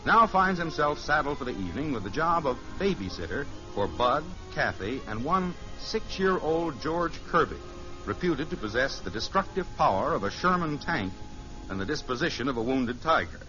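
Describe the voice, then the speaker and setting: A man's voice: mid-range voice, very animated, moderate pace, over music. An American man giving wry, scripted narration as announcer of a 1950s radio show. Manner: wry, storytelling. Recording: muffled old radio recording.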